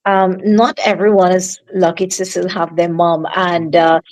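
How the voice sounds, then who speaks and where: A woman singer from Trinidad with a Trinidadian accent, answering interview questions over a remote call in a soft, halting way with many fillers and restarts. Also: fairly low pitch, flat delivery, low-quality recording. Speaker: singer guest.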